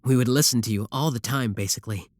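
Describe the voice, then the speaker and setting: A man playing a devoted, earnest kidnapper sibling in an ASMR roleplay, with a deadpan, matter-of-fact delivery. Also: medium pitch, fast talker, no room sound, lightly acted. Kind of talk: scripted ASMR roleplay acting.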